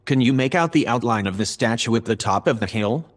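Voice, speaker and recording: A man playing a calm, formal artificial intelligence, reporting in a measured, dispassionate way in a sci-fi audio drama. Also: mid-range voice, lightly acted. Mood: detached.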